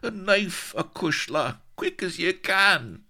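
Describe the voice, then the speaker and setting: A man's voice: on the low side, sing-song, a little slow, vocal fry, sharp tone, voice pushed up, heavily acted. A man voicing a sarcastic, anguished rogue of a colonel held captive, groaning out archaic oaths and insults in an audiobook story. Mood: pained, pleading. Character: hanging roguish Colonel, sarcastic, anguished, bombastic pirate captive.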